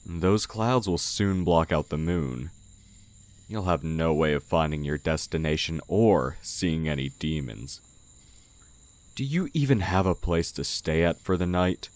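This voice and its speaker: Man playing a very deep, slow-talking sly demon blacksmith. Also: expressive, no echo. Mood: coaxing, guarded. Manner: slow, measured, condescending. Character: sly male oni demon posing as a humble village blacksmith. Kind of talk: audio roleplay, intimate character acting. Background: night forest ambience with crickets.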